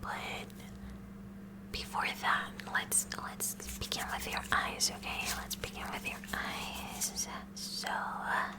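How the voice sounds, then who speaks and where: A woman playing a kooky, curious high school science club president in a whispered ASMR roleplay, softly and hesitantly chatty. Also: takes it slowly, lightly acted. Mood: gently instructing. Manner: soft, hesitant, inquisitive, chatty.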